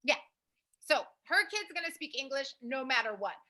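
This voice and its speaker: A woman's voice: high voice, lively intonation, normal speed, pushed and bright. A young American woman co-hosting a casual advice chat, speaking emphatically and repeating her points. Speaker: host, language program founder.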